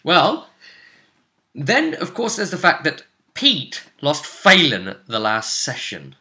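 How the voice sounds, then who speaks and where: Male game master, casual recap chat, higher-pitched and very bright, lively range. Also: slow. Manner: animated, expressive. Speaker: host and game master of a tabletop roleplay series.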